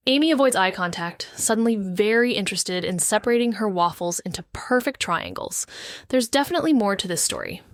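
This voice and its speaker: A woman's voice: mid-range voice, very animated, a little fast, dry recording, natural voice. A cautious young woman detective, played by an actress, narrates in a wry, thoughtful first-person voice. The recording is close and dry, with no room sound. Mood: reflective. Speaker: narrator and lead character. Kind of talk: noir audio drama narration.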